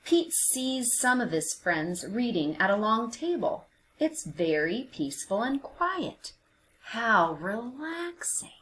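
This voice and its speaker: A woman narrating a picture book for young children, expressive and deliberate, with dramatic emphasis and hushed phrases. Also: on the high side, a little hiss or hum, rough recording. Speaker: children's read-aloud narrator.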